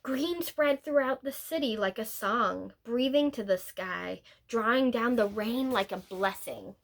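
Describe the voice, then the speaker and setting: A woman reading a picture book aloud as a teacher would, in a deliberate, expressive storytelling manner with drawn-out words and pauses. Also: on the high side. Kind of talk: picture-book read-aloud, scripted narration with brief asides.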